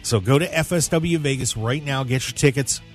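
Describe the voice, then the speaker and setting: A man's voice: mid-range voice, fairly quick, soft background music. A man hosting a sports talk radio show in a casual, conversational way, addressing his listeners directly.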